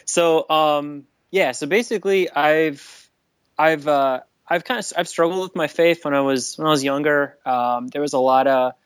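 A man calls in to a talk show with a smooth, fairly high voice, speaking hesitantly and restarting often. The sound is dry and close, with no room around it. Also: normal speed, no room sound. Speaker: caller. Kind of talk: phone-in conversation.

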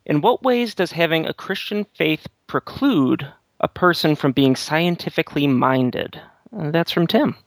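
A man reading a listener's letter aloud at a measured, slow pace. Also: on the high side, animated, no room sound.

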